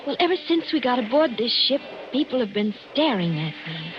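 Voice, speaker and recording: An actress playing a happy yet uneasy young American newlywed in a 1940s radio drama. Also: female voice, a little high, sing-song, fairly quick, music in the background. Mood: anxious. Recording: muffled, noisy 1940s radio recording.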